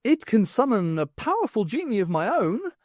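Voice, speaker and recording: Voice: medium pitch, big pitch swings, moderate pace, darkish tone, no echo, studio quality, pitched above the performer's own voice. A reader voicing a flustered, sardonic woman adventurer who is sarcastic and prone to exclamations. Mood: defiant.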